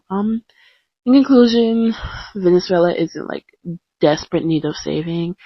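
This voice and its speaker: A woman's voice: mid-range voice, breathy edge, dry recording. A young woman talking casually in a podcast style, chatty and self-deprecating, with lots of ums and restarts. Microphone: close mic, blank screen. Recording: dull lo-fi home recording.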